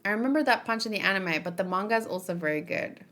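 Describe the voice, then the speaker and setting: A woman hosts a read-along in a casual, informal way. She thinks out loud as she talks, often feeling around for the right word. Her commentary is chatty and unscripted. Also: medium pitch, animated, fast talker. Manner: thinking aloud, searching for words. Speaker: host of a manga read-along.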